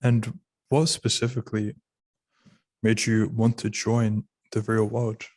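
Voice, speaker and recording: A man's voice: lowish voice, little pitch movement, slow pace, darkish tone, dry recording. A man interviewing a guest with brief, level questions.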